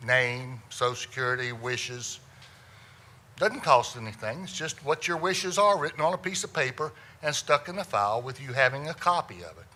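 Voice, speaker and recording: Middle-aged funeral director, loud, resonant, bright-toned, slightly higher voice, folksy joking speaker, faint hiss. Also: male voice. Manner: loud, folksy storyteller with self-deprecating jokes. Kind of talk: humorous presentation to a lawyers' audience.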